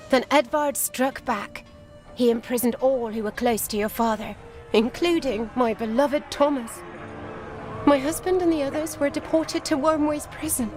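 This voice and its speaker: Devoted anxious wife, female, high voice, earnest, pleading, period video game drama. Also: a little mellow. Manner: earnest, pleading, old-fashioned wording. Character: anxious, devoted wife yearning for imprisoned husband.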